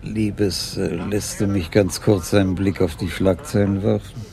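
A man's voice: deep voice, little pitch movement, measured pace, vocal fry, mellow. A man acting a mild, wry older police commissioner talking with his wife in a German radio drama.

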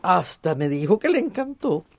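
A woman's voice: fairly low pitch, sing-song, moderate pace, dry recording. An actress playing a caring, teasing Central American countrywoman in a radio drama, affectionate and coaxing. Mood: fond teasing. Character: motherly, chatty village countrywoman, caring and teasing.

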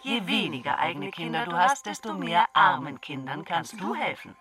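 A self-righteous, charitable aunt preaches in a radio drama. The voice is fairly low with very lively, swooping intonation, over prominent music. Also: female voice, moderate pace. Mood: preachy.

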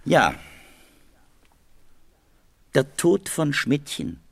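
Gravelly, insistent police captain. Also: male voice, a little high, sing-song, dry recording, heavily acted. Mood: probing, insistent. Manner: deliberate, pressing questioning. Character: probing, insistent male police captain interrogating a suspect. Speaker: actor in radio crime drama. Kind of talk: East German radio play acting.

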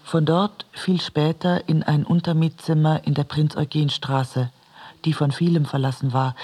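A man narrates a literary radio play with measured deliberation. His voice sits a little high for a man, with a husky grain and a strong creak running through it. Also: a little mellow. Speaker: reader in a radio drama.

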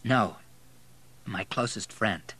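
Audiobook loyal friend, read by a man: male, earnest, anxious, forward bright tone. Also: lowish voice, slow pace, husky, full character voice. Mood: sad, pleading.

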